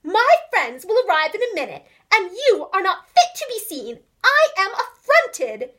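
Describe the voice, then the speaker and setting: A woman's voice: extremely high pitch, very animated, unhurried, fairly bright tone, voice pushed up, exaggerated voice. A woman voicing a stern, prim, easily affronted mother cat in a children's storybook reading. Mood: affronted, indignant. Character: bossy, affronted mother cat scolding her kittens.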